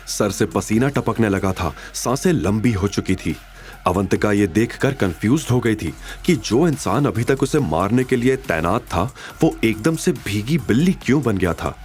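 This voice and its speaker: A man's voice: on the low side, animated, normal speed, darkish tone, faint music behind. A man narrating a scripted audio drama in a dramatic storytelling style.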